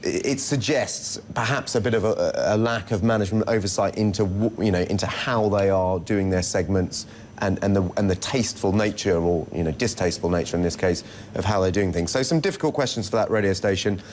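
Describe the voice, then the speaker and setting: A man reporting live as a news correspondent, explaining unscripted in a hesitant, halting way. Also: deep voice, a little mellow, a little hiss or hum. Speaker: senior international news correspondent. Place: television news studio.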